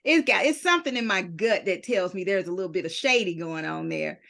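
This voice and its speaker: A middle-aged woman hosting an interview as a book publisher, an animated storyteller who acts out the dialogue she quotes. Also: lowish voice, a little fast, creaky. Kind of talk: podcast interview.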